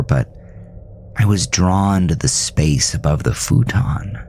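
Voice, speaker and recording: A man playing an uneasy man in his mid-thirties, narrating a horror audio drama in a measured, confiding, brooding way. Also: rumbling low, slight background noise.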